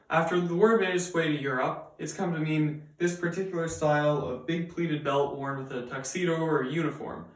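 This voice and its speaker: A fairly high-voiced young man explains word origins in a steady, earnest manner, stumbling now and then on small fillers. He was raised bilingual in English and Persian. His voice rings in a roomy space and sits some way off from the mic. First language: bilingual, raised speaking English and Persian. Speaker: student presenter. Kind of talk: scripted educational video narration. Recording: roomy echo, mic at a distance.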